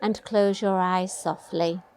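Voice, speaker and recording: A woman teaching a guided meditation, giving gentle, soothing, unhurried instructions. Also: fairly low pitch, fairly even intonation, slight background noise.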